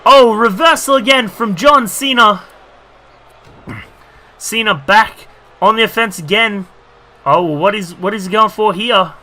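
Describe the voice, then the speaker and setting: A man commentating his own wrestling video game match, rambling with lots of fillers and bursting into excitement at big moves. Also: high-pitched, a little slow, long pauses. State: losing his voice.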